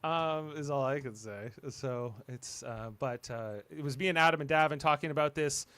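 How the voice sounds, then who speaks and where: A man's voice: mid-range voice, normal speed, fairly bright tone, clean tone, no echo. A man hosting a casual panel podcast, reading out show announcements in a rambling, hesitant way that often trails off. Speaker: podcast host.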